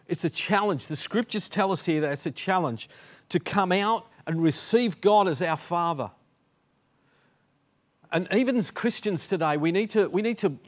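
Man, 60s, Australian accent, pastor, earnest sermon, restarts and repeats, mid-high voice. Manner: earnest, gesturing, frequent restarts and repeated words. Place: dark studio set with lectern.

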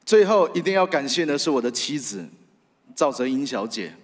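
A man with a Taiwanese Mandarin accent giving an earnest, halting acceptance speech into a stand microphone on a theatre stage. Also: medium pitch, very animated. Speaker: radio host, award winner. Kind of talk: award acceptance speech, partly read from phone. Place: large theatre stage at awards ceremony. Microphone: stand microphone, close. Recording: big hall reverb over PA.

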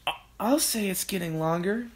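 A man voicing a sour, sceptical farmer's wife in a children's storybook, with a complaining, drawn-out delivery. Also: sits high, voice pushed up. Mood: sceptical, grumbling.

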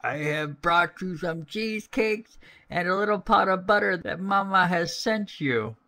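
A crafty storybook wolf pretends to be a little girl, acted by a man. The voice is raised high, falsely sweet and drawn out. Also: expressive, measured pace, bright, forceful tone, full character voice.

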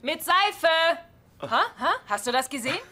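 Sarcastic sitcom wife, higher voice, dry delivery, jittery, clear noise and clicks. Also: female voice, very animated, moderate pace. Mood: proud. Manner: dry, wisecracking. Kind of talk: sitcom dub acting.

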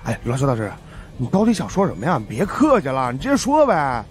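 A man voicing an excitable, cheeky fat young man in a ghost-story audiobook, chatty and exclamatory. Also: medium pitch, brisk, faint music behind, pitched above the performer's own voice. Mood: excitable, questioning. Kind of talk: audiobook character acting.

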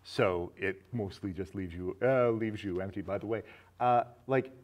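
A man in his thirties with a fairly low voice talks casually about film as the host of a show. He speaks in a conversational way, recorded on a small indoor set. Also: normal speed, highs cut off.

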